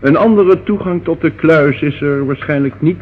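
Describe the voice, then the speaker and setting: An actor in a radio play playing a dutiful police sergeant who speaks formally and deferentially. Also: male voice, on the high side, moderate pace, natural voice. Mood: dutiful, reporting. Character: dutiful male police sergeant reporting to superiors. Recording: tinny, noisy old radio recording.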